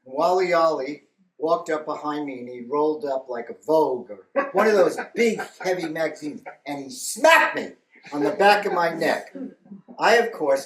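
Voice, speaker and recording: Slow, wry older man in echoey room. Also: on the high side, fairly bright tone, a little hiss or hum. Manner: wry, halting, stops to gather thoughts. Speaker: speaker sharing memories at a memorial gathering. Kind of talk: slow rambling storytelling. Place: meeting room with a live audience.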